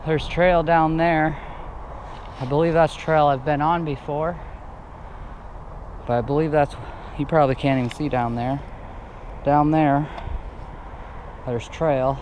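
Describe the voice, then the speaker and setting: A man with a fairly high voice talks to himself as he rides a forest bike trail, tossing out short remarks between climbs. He is picked up by an action camera on his body outdoors, with loud wind and rattling trail noise. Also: steady tone, a little slow, long pauses. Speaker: mountain biker filming his ride. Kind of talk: casual running commentary while riding. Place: wooded dirt trail outdoors. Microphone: helmet or chest-mounted action camera.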